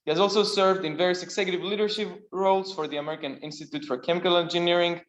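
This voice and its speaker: A man with a Greek accent and a fairly high voice introduces a speaker at a seminar. He halts often and fills pauses with ums. The room is echoey and the sound is dull. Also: fairly even intonation. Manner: halting, many fillers. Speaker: seminar host. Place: lecture room.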